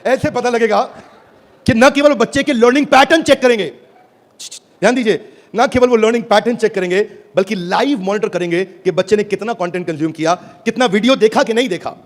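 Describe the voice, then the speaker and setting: A man giving a high-energy stage presentation at a product launch, theatrical and calling out to the audience for replies, swinging between booming and hushed delivery. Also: brisk. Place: stage before a live audience.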